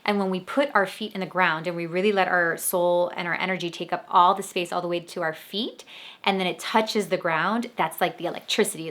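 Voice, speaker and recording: A woman hosting her own podcast, chatting casually about spirituality. She rambles along with lots of "like" fillers. Her voice sits a little low for a woman. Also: bright, forceful tone. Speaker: podcast host.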